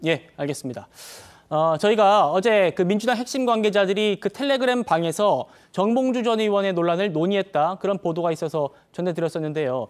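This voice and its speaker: A man anchoring a TV news talk show in a studio, with a measured and formal presenter delivery. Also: high voice.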